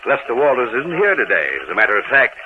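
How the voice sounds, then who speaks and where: An actor playing a fussy, self-important American insurance boss with an avuncular, blustering manner in an old radio drama. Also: male voice, medium pitch, huge pitch swings, fast. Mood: paternal, flustered. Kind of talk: 1950s radio drama acting. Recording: tinny old radio, loud hiss.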